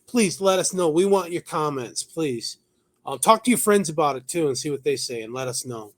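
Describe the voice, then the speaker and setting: Higher-voiced man discussing the news. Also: animated, moderate pace. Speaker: talk show host. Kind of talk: conversational news commentary, partly read from notes.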